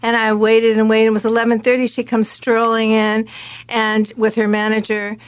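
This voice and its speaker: A woman in her sixties from Canada, a skating choreographer, telling stories as a talk-show guest with a relaxed, chatty flow. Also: on the high side, clear, resonant tone, no echo. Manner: relaxed, chatty, fills pauses with "um". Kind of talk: casual talk-show storytelling.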